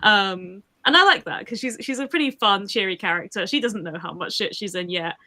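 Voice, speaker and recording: A woman's voice: mid-range voice, expressive, a little fast, sharp tone. A woman voice actor chatting casually and expressively as a guest on a livestream, with a giggly manner.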